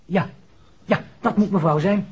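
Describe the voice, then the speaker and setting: An actor playing a deferential, stammering household servant in a 1960s radio play, apologetic and husky. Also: male voice, high voice, a little slow, darkish tone, a little hiss or hum. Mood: cheerful. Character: deferential, hesitant but chirpy male household servant. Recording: dull, muffled 1960s radio recording.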